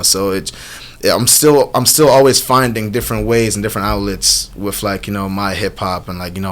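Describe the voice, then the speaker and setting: A man's voice: low-pitched, slight background noise. A man in his twenties from Jamaica, answering casually in a radio interview as a rapper, telling stories with lots of slang. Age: 20s. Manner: slangy, animated storytelling with frequent "you know what I'm saying". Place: small radio studio. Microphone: studio microphone.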